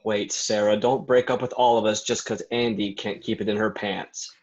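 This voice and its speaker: A man's voice: lowish voice, steady tone, moderate pace, a little mellow, close to an everyday voice. An actor playing a sarcastic, bitter young man who needles his friends with snide mockery in a play reading. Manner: snide, mocking. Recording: dull lo-fi recording.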